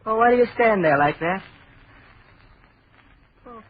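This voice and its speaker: A high-strung, frightened man in vintage radio horror, with a very high voice that swings wildly. He repeats himself in agitation, on a muffled old recording. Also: animated, unhurried, breathy edge, full character voice. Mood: anxious, pleading. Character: frightened, high-strung male traveller unraveling into panic. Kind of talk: 1930s-40s radio horror acting.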